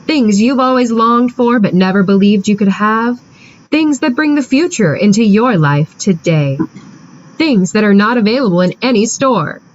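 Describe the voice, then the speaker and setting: An actress playing an upbeat pitchwoman reading a mock radio commercial. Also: animated, moderate pace, highs cut off, slight background noise, natural voice. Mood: selling. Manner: salesy, upbeat. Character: brisk female commercial pitchwoman.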